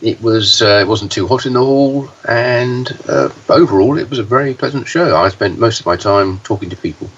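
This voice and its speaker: A man with a low, hoarse voice chats on a podcast, halting and restarting as he goes. He sounds set back from the mic, and the sound is dull with a faint hiss. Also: a bit bright, far from the mic. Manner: halting, many fillers and restarts. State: hoarse, deeper voice than usual. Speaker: podcast co-host, pinball news reporter. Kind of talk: casual podcast chat.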